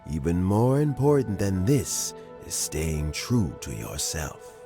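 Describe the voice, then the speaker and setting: A calm, low-voiced elderly spymaster. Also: male voice, heavily acted. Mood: calm counsel. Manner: measured, advising, gently paced. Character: wise elderly male spymaster, calm mentor. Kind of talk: game voice acting. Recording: game audio with faint music. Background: faint music.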